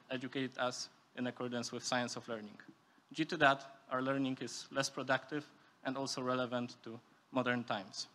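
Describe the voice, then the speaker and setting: A man's voice: mid-range voice, pushed and bright. A young man from Poland delivers a prepared, formal and structured speech as a student speaker. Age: young adult. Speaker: student speaker at a youth forum.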